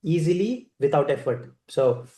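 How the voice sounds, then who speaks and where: Indian-accented man, guest speaker telling a personal story, mellow tone, roomy hall sound. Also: fairly high pitch, expressive, normal speed. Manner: storytelling, conversational, many fillers and restarts. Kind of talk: personal story speech at a ceremony.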